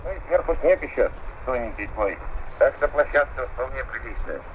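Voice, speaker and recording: A man's voice: medium pitch, animated, moderate pace, highs cut off, a little hiss or hum. A man at a polar station answering interview questions over a long-distance radio link.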